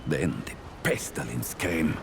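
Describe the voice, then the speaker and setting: A wise otherworldly trader for a video game. He talks slowly and solemnly in archaic, storytelling phrases, with a deep voice that rises and falls widely. Ambient noise sits behind him. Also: very animated, vocal fry, exaggerated voice. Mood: solemn, lamenting. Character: male Pan trader from a jungle world, solemn and wise. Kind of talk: video game character monologue.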